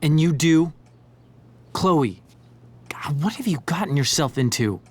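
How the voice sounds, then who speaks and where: A man's voice: on the high side, expressive, unhurried, breathy edge. A man playing an anxious, accusing teenage boy in a dramatic video game, pressing his points with pleading emphasis. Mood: hurt, pleading.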